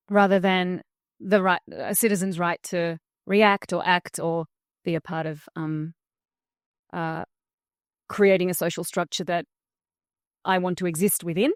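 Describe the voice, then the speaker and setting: Smooth-voiced hesitant woman panelist. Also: fairly low pitch, no room sound, studio quality. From Australia. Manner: hesitant, with many fillers. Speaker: panel speaker. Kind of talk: casual spoken answer. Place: conference hall.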